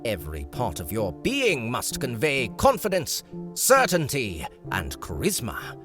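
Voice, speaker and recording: A man's voice: medium pitch, huge pitch swings, music in the background. A man voicing a stern, impatient enchanter teacher in an audiobook. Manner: slow, lecturing.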